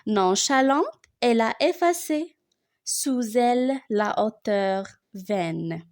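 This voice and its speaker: A woman reciting a poem for learners in an expressive way, phrasing it deliberately line by line. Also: a little high.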